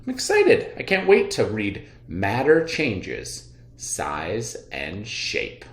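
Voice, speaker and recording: Man, elementary teacher, highly varied pitch, unhurried pace, expressive explaining, read-aloud lesson style, roomy echo. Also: vocal fry. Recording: echoey room sound.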